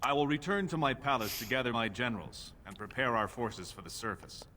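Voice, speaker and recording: A man's voice: mid-range voice, fairly quick, pushed and bright, close to an everyday voice. A man playing an imperious newly crowned dwarf king in a fantasy video game, speaking in a formal, commanding way. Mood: formal, satisfied.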